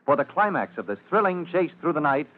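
A man's voice: a little high, big pitch swings, fairly quick. Tinny old-time radio announcer, male. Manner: dramatic, formal. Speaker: announcer, narrator. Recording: narrow, tinny vintage radio recording.

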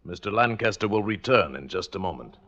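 A man's voice: on the low side, lively intonation, a little fast. A man announcing formally as a presenter in 1940s radio style. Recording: muffled 1940s radio, loud music behind.

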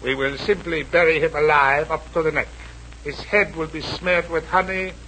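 An actor playing a cruel, pompous Japanese army officer in a 1940s radio adventure serial, menacing and mockingly formal. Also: male voice, a little high, fairly even intonation, normal speed, bright, forceful tone. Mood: coolly menacing. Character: cruel, sneering Japanese army major, villain. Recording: noisy old radio broadcast.

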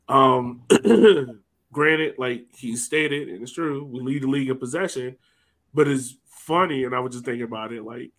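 A man's voice: mid-range voice, a bit bright. A man hosting a sports podcast, talking casually and thinking aloud as he goes. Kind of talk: casual soccer discussion.

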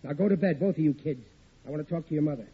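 A man's voice: on the high side, rapid-fire, slightly rough. An actor playing a blustering, suspicious middle-aged working-class father with an American accent in vintage radio sitcom style. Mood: stern, blustering. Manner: blustering, indignant, ungrammatical speech. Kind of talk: 1940s radio situation comedy acting. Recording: noisy, tinny vintage radio recording.

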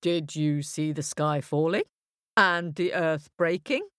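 A woman doing a slow, deep majestic lion. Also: a bit bright, clean tone, no room sound, studio quality. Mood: commanding. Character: majestic commanding male lion.

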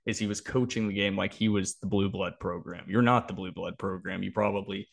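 A man's voice: low voice, steady tone, brisk, gravelly. A man co-hosting a sports podcast, talking through analysis and predictions in a casual, explanatory way.

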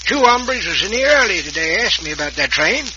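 An actor playing a folksy Old West sheriff with an American western accent in a vintage radio drama. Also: male voice, high voice, sing-song, fairly quick, lo-fi sound. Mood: relaxed. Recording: hissy vintage radio recording.

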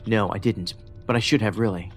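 An actor playing a pedantic, socially awkward federal investigator in a science fiction audio drama, speaking precisely and formally with a detached, musing manner. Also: male voice, fairly low pitch, fairly even intonation, a little fast, natural voice. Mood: analytical, intent. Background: music under the voice.

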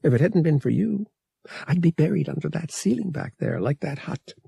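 A man voicing an earnest, shaken young woman in an audiobook, with a breathy, hushed tone. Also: on the high side, animated, moderate pace, no room sound, pitched above the performer's own voice, heavily acted.

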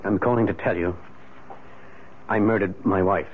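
An actor playing a weary middle-aged husband in a 1970s radio drama, grave, halting and insistent about his guilt. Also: deep voice, steady tone, a little hiss or hum, natural voice. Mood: flat confession. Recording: muffled narrowband 1970s radio recording.

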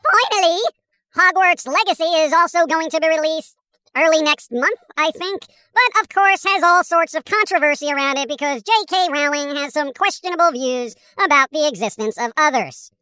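A cynical cartoon squirrel with an extremely high, piercing voice, recorded very dry. The squirrel cracks wise through comic news with sardonic disdain for humans. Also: moderate pace, bright, forceful tone, no room sound. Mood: dry, dismissive. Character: wisecracking cynical cartoon squirrel and gang of sidekicks. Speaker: podcast host character. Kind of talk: scripted comic news chat.

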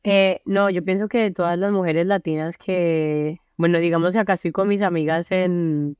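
A young Colombian woman with a Colombian Spanish accent, chatting casually as an interview guest, relaxed and full of fillers. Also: fairly low pitch, moderate pace, clean tone, dry recording, dull audio. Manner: relaxed, many fillers like "o sea" and "como". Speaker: singer-songwriter guest. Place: friends' apartment.

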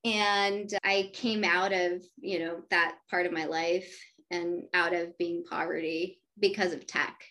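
A woman's voice: on the low side, flat delivery, takes it slowly, sharp tone, poor audio. A woman whose first language is Spanish, a venture capital partner speaking enthusiastically as an interview guest. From United States. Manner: upbeat, enthusiastic, businesslike. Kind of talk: conversational interview.

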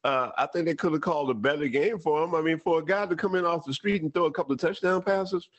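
A man's voice: a little high, fast. A man co-hosting a sports radio show, talking easily with chuckles and preachy asides. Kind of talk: casual podcast banter.

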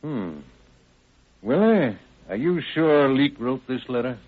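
A narrow, old-fashioned radio sound carries a skeptical male county sheriff. His husky, mid-pitched voice puts blunt, impatient questions in plain words. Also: big pitch swings, slow. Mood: suspicious. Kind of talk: old-time radio crime drama acting. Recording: band-limited vintage radio recording.

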